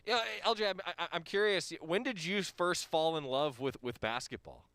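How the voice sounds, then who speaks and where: A man's voice: on the high side, normal speed, a bit bright, no echo. A podcast host interviewing a college athlete, upbeat and enthusiastic, often stumbling over how he starts a sentence. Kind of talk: friendly sports interview.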